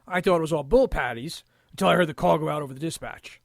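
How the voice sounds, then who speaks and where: An actor playing a skeptical, by-the-book police lieutenant in an audio drama, with a gruff, gravelly voice. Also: male voice, fairly high pitch, fast, no echo, studio quality. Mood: uneasy, defensive.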